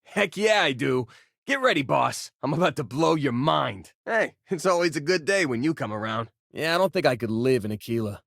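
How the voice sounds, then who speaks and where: A man's voice: mid-range voice, expressive, moderate pace, creaky, fairly bright tone, no room sound, studio quality. An actor playing a friendly, wisecracking male space miner and loyal crewmate in a sci-fi video game, with a casual, folksy, joking manner. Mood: upbeat, friendly.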